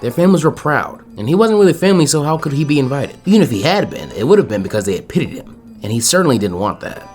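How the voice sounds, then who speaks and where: A man narrates fan fiction in an expressive style. His medium-pitched voice moves quickly, with soft music playing behind it. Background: faint music.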